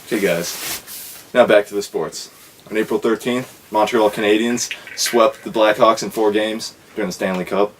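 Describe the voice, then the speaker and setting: A man reads the sports segment of a casual student skit newscast. His voice sits somewhat low, and his delivery is plain, with small restarts. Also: steady tone, normal speed, slight background noise, low-quality recording. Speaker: mock sports reporter.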